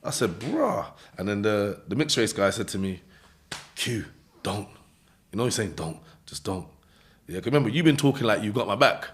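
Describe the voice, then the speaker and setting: A London man tells his story in heavy street slang, his low voice jumping up whenever he acts out someone else and breaking into laughs. The talk is loose and casual, with lots of "innit" and "you know what I mean". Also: expressive, moderate pace. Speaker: interview guest.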